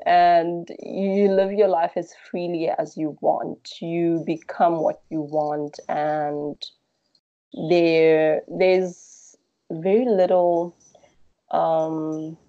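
South african lady chatting in an interview, soft-edged dark tone, kinda hesitant and reflective, bit dull sound. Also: deep voice, vocal fry. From Durban, South Africa. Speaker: interview guest.

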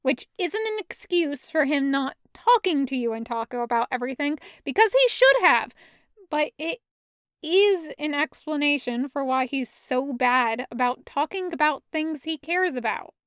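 Woman voicing a careful, softer-toned concerned boyfriend. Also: sits high, expressive, no room sound, clean studio sound. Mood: reassuring. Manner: careful, hesitant, measured. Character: gentle, concerned, careful man soothing his girlfriend.

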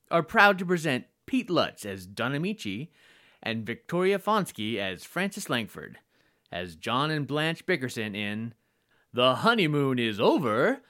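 A man's voice: mid-range voice, a bit bright. A man announcing and narrating in a theatrical old-time radio style. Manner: theatrical, presentational. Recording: guitar music under the voice. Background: plucked guitar music.

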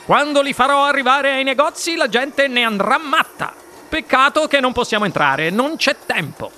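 A gleeful eccentric candy boss for an audiobook, way high and swooping, always rushing and exclaiming. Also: expressive, sharp tone, a little hiss or hum. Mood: gleeful, enthusiastic. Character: excitable, eccentric, impatient male candy-factory owner, gleeful showman.

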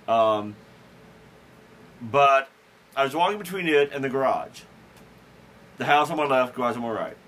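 A man hosts a casual podcast and tells a long, self-deprecating story about himself. His voice is bright and forward at an average pitch. He rambles, restarts his sentences and chuckles as he goes. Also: animated, normal speed, pauses often. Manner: frequent restarts and fillers. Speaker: podcast host.

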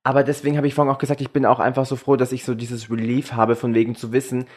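A man's voice: medium pitch, level tone, rapid-fire. A young man from Germany chatting casually and candidly, crude and frank, mixing English slang into his German. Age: young adult. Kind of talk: casual, candid group chat.

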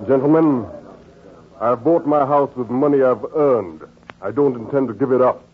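A man playing an earnest, resolute doctor and devoted husband in a 1940s radio drama, speaking deliberately. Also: mid-range voice, breathy edge, natural voice. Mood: firm. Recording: tinny, noisy 1940s radio recording.

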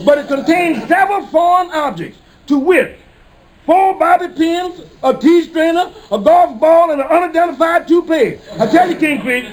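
An actor playing a pompous, blustering lawyer in 1950s radio comedy, declaiming complaints in mock-legal fashion. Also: male voice, very high voice, normal speed, low-quality recording. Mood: pompous, indignant. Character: Calhoun, pompous blustering male lawyer lodge member.

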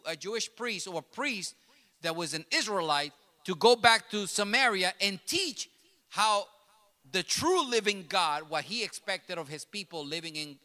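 A man whose first language is Spanish, preaching with emphasis, circling back over his phrases as he builds. His voice sits very high and cuts through sharply. It rings out with a long tail of reverb, as in a big church hall. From grew up in New York City. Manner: emphatic, repeats and restarts phrases, builds to loud passionate peaks. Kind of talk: sermon.

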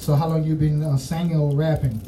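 A man's voice: on the high side, flat delivery, fairly quick, dark tone, distant mic. A man with a Haitian accent interviewing musicians in casual, rambling chat, full of "you know what I'm saying", recorded off-mic with faint noise behind.

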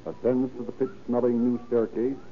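A man's voice: medium pitch, little pitch movement, normal speed. A man announcing and narrating in an emphatic, formal, scripted 1930s radio style. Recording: tinny 1930s radio recording with music.

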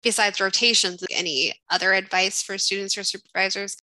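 A woman interviewer asks short, polite questions and thanks her guest warmly in words. Her tone is bright and forward. The recording is dry and close. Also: medium pitch, steady tone, fairly quick, dry recording, low-quality recording. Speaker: podcast co-host interviewer.

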